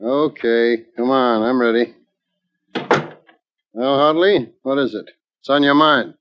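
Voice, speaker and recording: A man playing a gruff, sarcastic theater company manager in blunt 1940s radio drama style. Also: mid-range voice, takes it slowly, slight background noise, lightly acted. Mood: sarcastic, impatient. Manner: sardonic, blunt. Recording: dull old-time radio recording.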